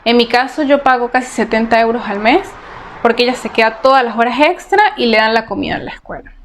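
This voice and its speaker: A woman's voice: a little high, quick pace, slight background noise. Earnest Venezuelan woman giving advice. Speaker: interviewee. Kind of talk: conversational interview answers. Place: Portugal.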